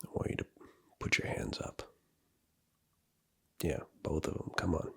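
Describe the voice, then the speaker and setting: A man in a whispered ASMR roleplay, commanding and teasing as he gives orders and hands out points, close to the microphone. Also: measured pace.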